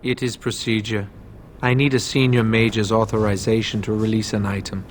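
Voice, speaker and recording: An actor playing an emotionless, procedural keeper of a magical stockroom in a video game, formal and detached. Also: male voice, fairly low pitch, flat delivery, moderate pace, a little hiss or hum, close to an everyday voice. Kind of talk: scripted video game dialogue.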